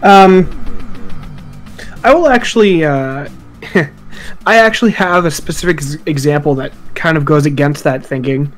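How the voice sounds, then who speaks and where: A man's voice: a little high, measured pace, pauses often. A man talking casually and loosely about video games in a group podcast, rambling and swearing as he goes. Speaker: podcast panelist. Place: online group voice chat. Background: guitar music.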